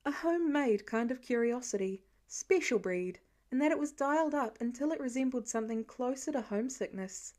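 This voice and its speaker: A woman reading a story aloud as an expressive audiobook-style narrator of fan fiction. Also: high voice, brisk.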